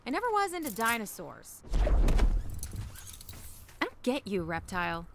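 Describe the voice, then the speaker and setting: A woman's voice: fairly high pitch, wildly swooping pitch, unhurried, vocal fry, full character voice. An actress voicing a cocky young woman soldier who trades sassy, sarcastic banter in a video game. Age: young adult. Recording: noisy game audio.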